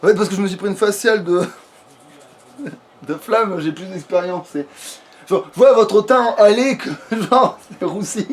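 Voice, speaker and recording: A man's voice: high-pitched, unhurried. A man giving casual, rambling gameplay commentary as a let's play host, swearing freely and talking crudely.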